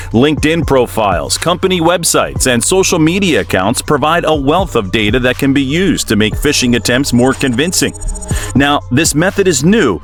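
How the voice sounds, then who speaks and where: A man narrates a documentary from a script with a mid-pitched voice and very lively, varied intonation. He explains things step by step and addresses the listener directly. Music plays faintly behind him. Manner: explanatory, rhetorical questions to the listener.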